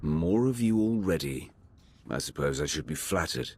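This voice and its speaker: An actor playing a commanding, sardonic Sith lord in a game, with a husky voice and a blunt, imperious manner. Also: male voice, sits low, animated, moderate pace, background noise. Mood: dry sardonic.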